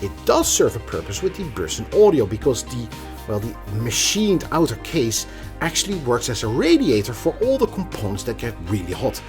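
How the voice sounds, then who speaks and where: A man's voice: mid-range voice, huge pitch swings, normal speed, a little mellow, soft background music. A man presenting a product review in a conversational, emphatic way, occasionally stumbling over a word. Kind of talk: scripted product review with casual asides.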